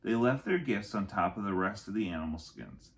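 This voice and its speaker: A man with a lowish voice reads a children's chapter book aloud. His delivery is very animated, with deliberate, dramatic phrasing. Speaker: read-aloud storyteller.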